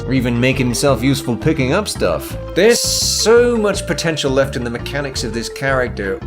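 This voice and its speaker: A man delivering scripted, humorous video game commentary in a theatrical, sardonic way, full of flowery rhetorical questions. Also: medium pitch. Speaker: video essayist, narrator. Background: background music.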